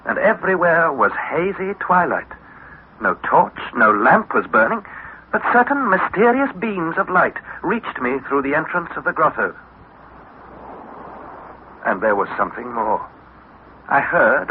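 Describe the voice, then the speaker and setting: An actor playing an eager young English nephew, narrating and speaking dramatically in the style of 1960s radio adventure. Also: male voice, on the high side. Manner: dramatic, articulate, excitable. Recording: tinny, noisy vintage radio recording.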